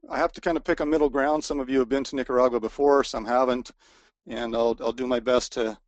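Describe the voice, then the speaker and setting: A man with a gravelly, mid-pitched voice talks through a webinar presentation, stumbling over restarts and fillers as his voice wears thin. The sound is dry and close, picked up by a computer microphone with no room around it. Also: dry recording. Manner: hesitant, many restarts and fillers, self-deprecating. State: voice wearing out. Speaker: resort co-owner presenting a webinar. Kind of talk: informal sales presentation.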